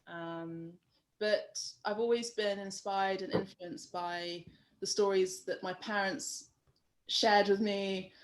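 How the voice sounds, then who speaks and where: A woman with a London accent talks over a video call as a guest artist. Her speech is loose and rambling, full of fillers and quiet laughs. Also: mid-range voice, fairly even intonation, slow, poor audio. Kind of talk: casual interview chat.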